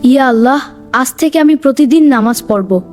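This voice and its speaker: A woman's voice: high voice, animated, normal speed, a little mellow. A woman voicing an earnest young boy in a moral cartoon, dramatic and repentant. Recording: music behind the voice.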